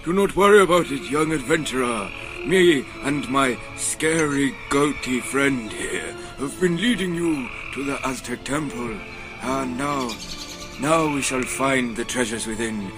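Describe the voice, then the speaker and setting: Man acting an eccentric wizard over loud music. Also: mid-range voice, expressive, measured pace, lightly acted. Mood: mysterious. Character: eccentric, rude male wizard guiding a young adventurer. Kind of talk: amateur comic radio play acting. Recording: music bed behind the voice.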